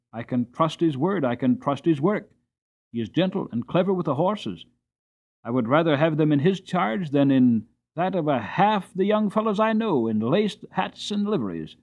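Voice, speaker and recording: A man's voice: a little high, highs cut off. A man voicing a loyal, plain-spoken Victorian coachman in an audiobook, earnest, emphatic and respectful. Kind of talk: dramatic audiobook character reading.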